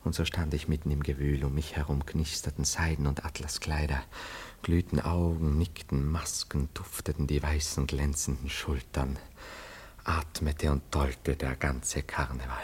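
An actor playing a nervous, theatrical bridegroom in a classic German radio play, evasive and given to florid, self-dramatizing storytelling. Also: male voice, a bass voice, little pitch movement, moderate pace, darkish tone, slightly rough, full character voice. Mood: dramatic, wistful. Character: nervous, theatrical bridegroom confessing on his wedding morning.

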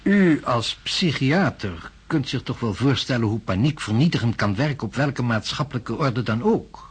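An actor playing an impatient, self-assured professor of science in a radio drama, speaking formally and pedantically. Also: male voice, medium pitch, lively intonation, normal speed, husky. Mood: forceful. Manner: formal, pedantic, emphatic lecturing.

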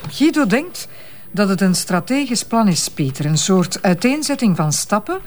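An actress with a Flemish accent playing a confident, earnest investigator explaining findings in a measured way in a radio detective drama. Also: female voice, medium pitch, sing-song, a little mellow, natural voice. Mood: explanatory.

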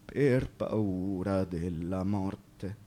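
An actor playing a subdued, haunted man who echoes troubled thoughts in poetic radio theatre, in drawn-out phrases. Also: low voice, dark tone, exaggerated voice.

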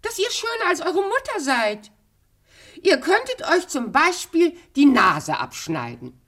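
Slow, swooping, scheming evil queen. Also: high-pitched, sing-song, fairly bright tone, heavily acted. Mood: coaxing, sly. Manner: theatrical, wheedling and imperious. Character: vain, cruel queen, at times posing as a coaxing pedlar woman. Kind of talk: radio play acting.

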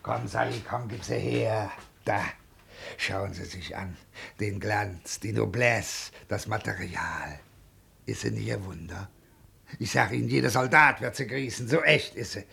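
Clothes dealer, male: creaky and husky, effusive, wheedling haggler. Vintage radio-play delivery. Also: lowish voice, unhurried, sharp tone, exaggerated voice. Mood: persuasive. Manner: effusive salesman, repeats himself, haggles. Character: wheedling, fast-talking male second-hand clothes dealer. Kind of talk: 1950s German radio play acting.

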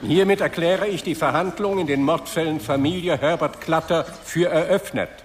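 An actor in a radio drama playing a court official who runs a hearing in a formal, procedural, authoritative way. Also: male voice, fairly high pitch, normal speed, slight background noise, lightly acted. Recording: reverberant courtroom-like hall.

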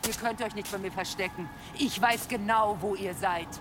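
A woman's voice: medium pitch, moderate pace, heavy background noise, lightly acted. A woman playing an ominous, taunting village prophetess in a German audio drama, speaking in a portentous, incantatory way. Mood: menacing, taunting.

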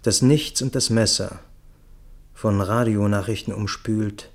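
A man's voice: lowish voice, fairly even intonation, a little slow. A man giving scripted first-person narration in a radio play, in a descriptive storytelling manner.

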